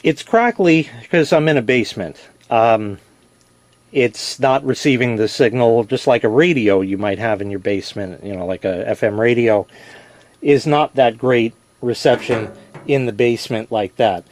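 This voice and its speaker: A man's voice: mid-range voice, moderate pace, dry recording. Casual man demonstrating a product, dry sound. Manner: plain-spoken, explanatory, self-correcting. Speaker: product reviewer. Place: indoors in a basement.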